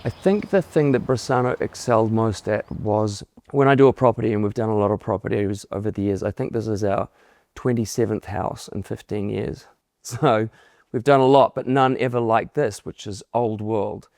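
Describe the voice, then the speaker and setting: Middle-aged New man, low and a bit gravelly, easygoing testimonial read, faint music bed. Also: fairly even intonation, normal speed, dry recording, clean studio sound. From New Zealand. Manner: candid, restarts words, warm praise. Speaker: homeowner giving a client testimonial. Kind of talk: relaxed testimonial interview. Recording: soft music underneath.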